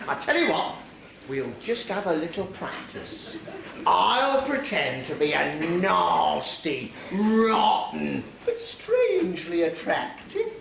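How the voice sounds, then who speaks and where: A man's voice: sits high, creaky, bright, forceful tone, exaggerated voice. A man playing a bossy, greedy pantomime dame mother, coaxing and scolding in exaggerated stage style. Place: theatre or hall stage, live performance. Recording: very echoey hall, faint noise.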